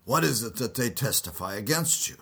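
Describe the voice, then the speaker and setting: A man voicing an angry male high priest who accuses and shouts in a raised, strained voice. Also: lively intonation, a little fast, gravelly, breathy edge, exaggerated voice. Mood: stern.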